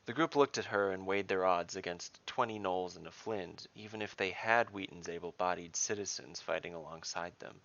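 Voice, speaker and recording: A man hosting and narrating a scripted fantasy story as its dungeon master, in a dramatic, deliberate way. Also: sits low, creaky, a bit bright, highs cut off.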